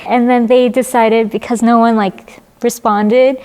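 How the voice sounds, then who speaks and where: A woman in her twenties, born in China, telling her adoption story in a halting way with many fillers. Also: a little high, level tone, darkish tone, smooth. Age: 20s. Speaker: interviewee. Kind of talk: personal testimony.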